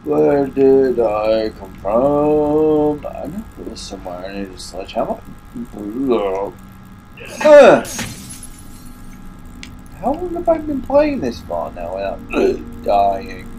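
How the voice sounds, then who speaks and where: A man with an English accent talks through a dark game in an unhurried, mid-pitched voice. His nose is blocked and hiccups keep breaking in as he mutters complaints to himself. Faint noise sits under the recording. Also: huge pitch swings, low-quality recording. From England. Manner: muttering, grumbling asides to himself. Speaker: gaming YouTuber. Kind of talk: casual let's play commentary.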